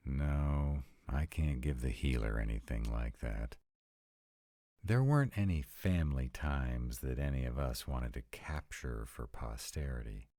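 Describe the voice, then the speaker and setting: Very deep, sarcastic vampire played by a man. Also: animated, a little slow, dark tone, dry recording, studio quality, full character voice. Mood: frustrated, resigned. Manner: sarcastic, dryly exasperated, emphatic. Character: sarcastic male vampire, exasperated, anxious about in-laws. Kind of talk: scripted roleplay audio monologue to a partner.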